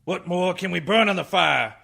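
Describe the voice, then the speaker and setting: An actor playing a blind, tired old man, a blustering, indignant orator with a raspy voice in a radio comedy. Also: high voice, normal speed, full character voice. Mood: blustering outrage. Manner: theatrical, ranting, swings from muttering to shouting. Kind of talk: radio comedy play parodying a film speech.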